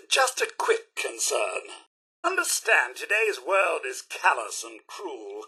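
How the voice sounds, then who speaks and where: Video game robot butler, British: piercingly bright and slightly raspy, unhurried, fussy, over-polite, dramatic. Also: male voice, high voice, exaggerated voice. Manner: formal, over-polite, crisply enunciated, dramatic. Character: prim, fussy robot butler with wounded loyalty. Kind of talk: theatrical video game voice acting.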